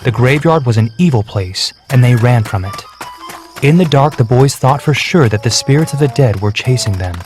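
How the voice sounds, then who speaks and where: Male narrator, mid-range voice, measured scripted storytelling for children over a clear music bed. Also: mid-range voice, animated, darkish tone.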